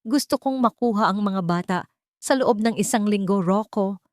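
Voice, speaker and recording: A woman's voice: mid-range voice, normal speed, no room sound, studio quality, voice pushed up, close to an everyday voice. A woman voicing a scheming wealthy older matriarch who gives orders with cold authority and cunning. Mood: commanding.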